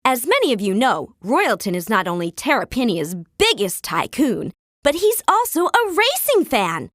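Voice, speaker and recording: An actress playing a perky, eager news correspondent giving a promotional on-the-scene report in a cartoonish video game. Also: female voice, fairly high pitch, huge pitch swings, normal speed, a bit bright, no room sound, studio quality, exaggerated voice. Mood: enthusiastic.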